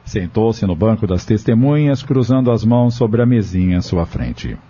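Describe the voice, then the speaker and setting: A man narrates a scripted audio novel in a lowish, very mellow voice. The recording is somewhat dull and lo-fi. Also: lively intonation, moderate pace. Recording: dull lo-fi audio with music bed. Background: music.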